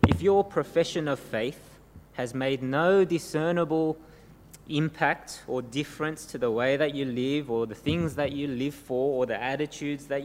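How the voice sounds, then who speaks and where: Man, Korean-born, earnest pastor, long church reverb, faint hiss. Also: mid-range voice. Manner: earnest preaching with rhetorical tag questions. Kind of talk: sermon. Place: church service before a congregation.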